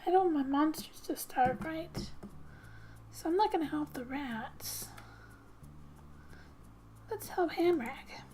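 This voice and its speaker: Soft, high-pitched hushed woman. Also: slow, long pauses, a little hiss or hum. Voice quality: soft, hushed, often breaking into whisper. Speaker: gaming ASMR host. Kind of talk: soft-spoken ASMR, reading game text aloud with casual commentary.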